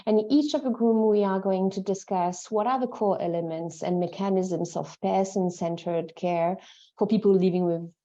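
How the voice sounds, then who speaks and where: Woman facilitator, mellow lowish voice, earphone mic, lo-fi call sound. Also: moderate pace. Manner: polite, organized, explaining steps. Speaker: meeting facilitator. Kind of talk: facilitating an online consultation. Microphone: wired earphone mic on a video call. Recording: dull lo-fi call audio.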